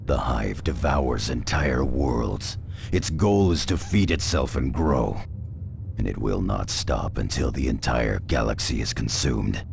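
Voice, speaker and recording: A man playing a grim veteran space marine scout sergeant in a video game, grave and measured, with a gravelly voice. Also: a little hiss or hum, full character voice. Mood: ominous, grave. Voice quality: gravelly, very deep. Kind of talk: dramatic video game acting. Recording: music behind the voice.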